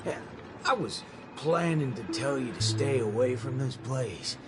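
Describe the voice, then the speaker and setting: Man doing a sarcastic blunt young fighter in a game, slow and steady, loud music behind. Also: medium pitch, natural voice. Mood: annoyed. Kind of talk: dubbed game cutscene dialogue.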